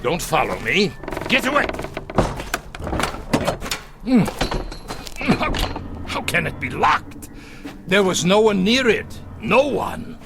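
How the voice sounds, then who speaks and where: A haunted ex-captain in old television drama style, his voice fairly high and swinging widely in pitch. He halts and blusters defensively, taking his time over words, with music clearly playing behind him. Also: very animated, slow pace, long pauses, full character voice. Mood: defensive, nervous. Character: haunted former SS captain, evasive and agitated. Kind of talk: vintage television drama acting.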